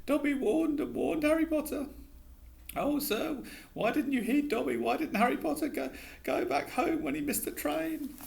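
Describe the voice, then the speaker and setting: Dobby, a squeaky miserable house elf. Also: male voice, normal speed, gravelly, darkish tone, heavily acted. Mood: miserable, pleading. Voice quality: high, squeaky and pleading. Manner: speaks of himself in third person.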